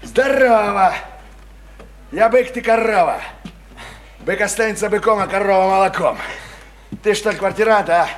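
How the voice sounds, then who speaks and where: Actor doing rough slangy bully guy, radio play, really high voice for a man, jeering, keeps repeating stuff, echoey room. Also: moderate pace, pauses often, close to an everyday voice. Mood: cocky, prying. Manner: coarse slangy speech, jeering, repeats phrases insistently. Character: crude, bullying married working-class man, wheedling then threatening. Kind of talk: Russian radio play acting. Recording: roomy, slightly noisy stage-like radio recording.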